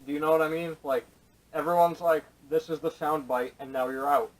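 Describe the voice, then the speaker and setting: A man's voice: fairly high pitch, steady tone, a little fast. A man chatting casually on a podcast panel with blunt, contrarian opinions, recorded through a camera microphone. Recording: distant camera audio, noisy.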